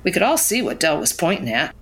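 A woman's voice: on the low side, big pitch swings, fast, breathy edge, full character voice. An actress playing a reflective woman who narrates memories of her youth in an audio drama, wistful and storytelling. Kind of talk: scripted first-person drama narration. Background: music.

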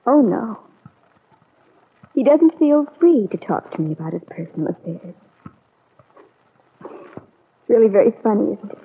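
An American actress playing a heartbroken, brooding young niece in a classic radio serial, wounded and melodramatic in delivery. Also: female voice, medium pitch, big pitch swings, measured pace, pauses often, husky, full character voice. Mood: hurt, resentful. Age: young adult. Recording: tinny narrow-band old radio recording.

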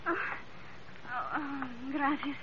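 An actress playing a coaxing, effusive Spanish-accented femme fatale in an old radio drama, pleading in foreign phrasing. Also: female voice, sits high, steady tone, takes it slowly, background noise. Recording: muffled vintage radio recording, background murmur.

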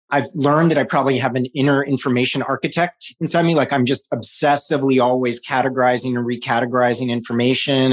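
A man in his forties from New York City, a guest talking loosely in an interview, with lots of restarts and fillers and frequent laughs. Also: mid-range voice. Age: 40s. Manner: rambling, many restarts and fillers, laughs often. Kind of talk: casual interview conversation.

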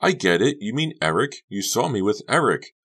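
A man's voice: fairly low pitch, sing-song, normal speed, a bit bright, husky, voice pushed up, full character voice. A man voicing a bewildered, wounded teenage girl in an audiobook, innocent, plaintive and protesting. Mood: insistent. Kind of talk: audiobook character dialogue.

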